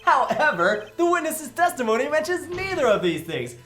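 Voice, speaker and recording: A sassy, theatrical defense attorney wisecracks through a comedic courtroom game, voiced by a woman. The pitch swings extremely widely, and music plays faintly behind. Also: big pitch swings, normal speed, a bit bright. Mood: confident. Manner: sassy, theatrical, joking asides. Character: cheeky, dramatic, wisecracking male defense attorney. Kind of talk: comedic visual novel game fandub.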